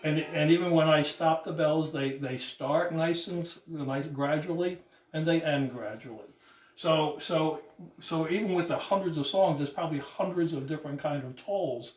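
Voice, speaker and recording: Halting man in an echoey room. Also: a little high. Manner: halting, repeats words as he explains. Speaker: guest explaining the church bell system. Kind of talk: informal demonstration, explaining. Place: inside a church, roomy. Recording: echoey room, distant mic, music behind.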